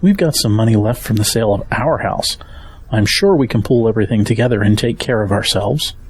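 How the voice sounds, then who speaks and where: A man's voice: fairly low pitch, brisk, slight background noise, lightly acted. An actor playing a weary, practical family man with a wary, down-to-earth manner in an audio drama.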